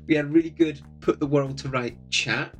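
A man with a soothing voice, talking in a relaxed, friendly way as a podcast guest. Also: on the high side, brisk, muffled recording, faint music behind. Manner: relaxed, friendly, gently humorous. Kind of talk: casual podcast chat.

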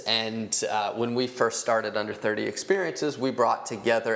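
A man's voice: medium pitch, fairly bright tone. Agreeable male podcast host. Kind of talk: casual interview chat.

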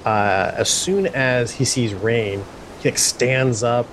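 Halting man improvising at a game table. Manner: halting, repeats phrases as he improvises. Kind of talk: casual improvised character description. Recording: faint rumble behind voice.

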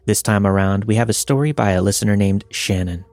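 A man's voice: lowish voice, fairly quick, a little mellow, no echo. A man hosting a podcast and reading a scripted true horror story as narrator.

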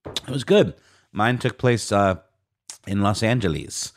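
A man's voice: lowish voice, lively intonation, a little slow, no room sound, studio quality. A man co-hosting a podcast in casual chat, his voice sleepy, rambling with fillers and restarts. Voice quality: sleepy-sounding voice. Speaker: podcast co-host.

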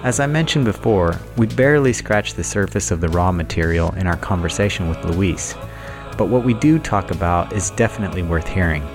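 A man hosting a podcast, giving a measured, explanatory introduction in a scripted style. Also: sits low, lively intonation, music in the background. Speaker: podcast host.

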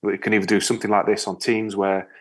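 A man from Yorkshire with a northern English accent, talking casually as an interview guest in a rambling, halting way with repeated words. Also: on the low side, level tone, slightly rough. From Yorkshire, England. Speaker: interview guest, ocean rower and spearfisher. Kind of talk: casual conversational storytelling.

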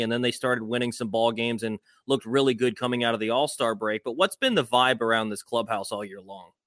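A man with a mid-pitched voice, recorded dry and close. He hosts a sports podcast and sets up his questions conversationally. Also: fairly bright tone, dry recording. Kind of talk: conversational interviewing.

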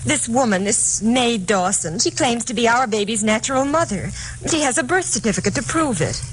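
A woman's voice: on the high side, lively intonation, normal speed, natural voice. An American actress playing an anxious, devoted adoptive mother in a 1950s radio drama, earnest and pleading. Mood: distressed, pleading. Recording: noisy vintage radio recording.

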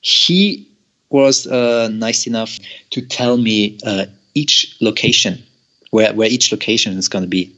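A young man from Germany, a guest telling a long personal story with halting, repeated words and frequent laughs. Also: on the low side, bright, forceful tone, highs cut off. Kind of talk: storytelling in an interview.

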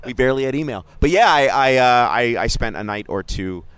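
A man's voice: medium pitch, animated, brisk, a bit bright, clear, resonant tone, no echo. A middle-aged man co-hosting a podcast, chatting casually and joking, often restarting his sentences. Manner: rambling, self-interrupting, joking. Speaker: podcast co-host, sports journalist. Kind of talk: casual chat.

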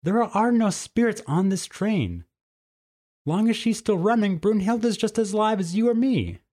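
A stern, folksy she-cougar from a storybook, acted out by a male narrator. The voice is lifted in pitch, plainspoken and protective, with homespun country turns of phrase. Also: lively intonation, unhurried, darkish tone. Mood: firm, warning. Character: stern, folksy female cougar guarding a secret. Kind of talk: storybook character voice.

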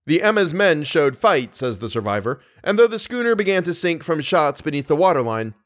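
A man's voice: a little high, sing-song, brisk. A man who hosts a podcast and reads classic horror fiction aloud, speaking as a narrator and presenter. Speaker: podcast host and story reader. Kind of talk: casual podcast introduction and audiobook-style narration of a horror story.

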